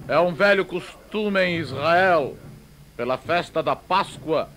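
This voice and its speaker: An actor playing an imperious Roman governor who wavers before a mob, declaiming in deliberate, oratorical phrases in a religious drama. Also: male voice, a little high, background noise. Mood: measured, oratorical. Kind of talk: theatrical religious audio drama.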